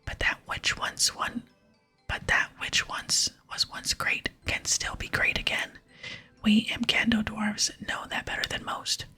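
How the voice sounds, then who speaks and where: Whispered, breathy solemn dwarf smith played by a woman, mournful yet hopeful game character, music underneath. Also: mid-range voice, monotone, a little slow, pushed and bright, pitched below the performer's own voice, full character voice. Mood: wistful. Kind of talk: ASMR game dialogue reading.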